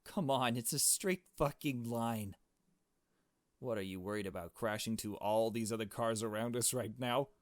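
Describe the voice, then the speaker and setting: A man's voice: mid-range voice, normal speed, no room sound, lightly acted. Casting: male reader as impatient young hothead for a visual novel, brash and sarcastic, swearing freely, dry recording. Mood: exasperated. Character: hot-tempered, foul-mouthed, impatient young male accomplice.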